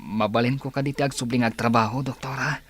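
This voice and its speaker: A man's voice: mid-range voice, steady tone, quick pace, a bit bright, slightly rough. A man playing an eager, cheerful young grandson in an Ilocano comedy radio drama, with an Ilocano accent. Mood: obedient, pleased.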